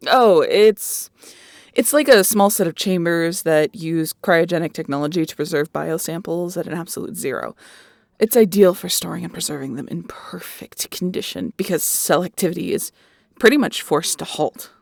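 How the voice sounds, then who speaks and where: Woman playing young female scientist, snarky, excitable, nerdy, lowish creaky voice, dry studio sound, audio roleplay. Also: moderate pace, no echo. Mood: nerdy, explaining. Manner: sardonic, chatty, nerdy. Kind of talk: audio roleplay acting addressed to the listener.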